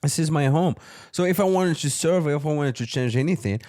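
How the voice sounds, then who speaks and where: A man's voice: fairly high pitch, brisk, darkish tone, no echo, clean studio sound. A man from Morocco co-hosting a podcast, talking casually and rambling, often tagging his points with "you know what I'm saying". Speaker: podcast co-host. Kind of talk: casual chat.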